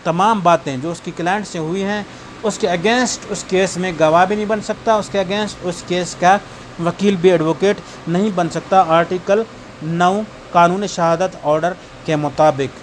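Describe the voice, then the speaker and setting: Male law tutor, Pakistani accent, fairly high voice, explanatory exam-prep delivery, slight noise behind him. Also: a little slow. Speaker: law lecturer. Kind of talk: exam-prep lecture, reading out statutes and explaining them.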